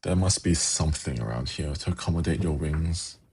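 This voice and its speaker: Man playing a gravelly, very deep-voiced demon prince. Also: full character voice. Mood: teasing. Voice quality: very low, gravelly, husky. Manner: slow, coaxing, teasing. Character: suave male demon prince, teasing, coaxing, gently menacing. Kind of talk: ASMR fantasy roleplay, intimate monologue to listener.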